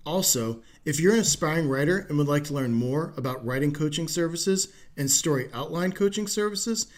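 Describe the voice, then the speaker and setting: Male podcast host, slightly high voice, polite and articulate, tidy presenting style. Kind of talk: interview hosting with scripted sign-off.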